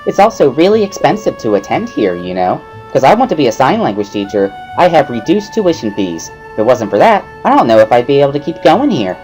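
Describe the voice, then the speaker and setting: A man voicing a cheerful, excitable teenage schoolgirl in a gushing, sing-song way for a visual novel reading. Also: medium pitch, fairly quick, few pauses, faint music behind, pitched above the performer's own voice, close to an everyday voice, poor audio. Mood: earnest, perky.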